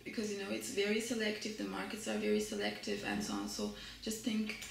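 A woman's voice: mid-range voice, very animated, brisk, gravelly, a little hiss or hum. Hesitant woman academic in echoey room. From Germany. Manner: thoughtful, hesitant, many fillers and restarts. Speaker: translation studies professor, guest. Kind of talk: academic interview.

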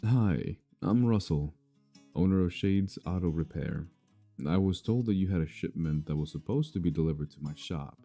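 A blunt werewolf alpha with a very deep, dark, gravelly voice. He talks in a plain, businesslike way. Soft guitar plays behind him. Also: moderate pace. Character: gruff werewolf alpha man, auto shop owner. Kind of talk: audio drama roleplay.

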